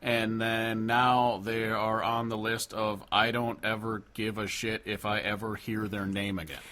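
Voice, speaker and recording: A man's voice: lowish voice, level tone, a little slow, bright, forceful tone. A man co-hosting a casual music chat, blunt and incredulous, who swears freely. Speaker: podcast co-host.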